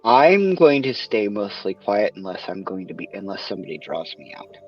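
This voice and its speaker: Hesitant low man on a dull mic. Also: very animated, normal speed, soft background music. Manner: hesitant, with many fillers. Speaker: roleplay player. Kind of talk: casual in-character roleplay.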